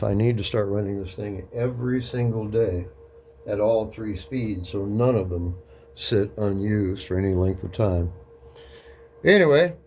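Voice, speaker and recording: A man casually narrating a hands-on workshop demonstration, murmuring to himself in an unhurried way as he works. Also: fairly low pitch, dark tone, slight background noise. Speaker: record-cutting hobbyist demonstrating a lathe.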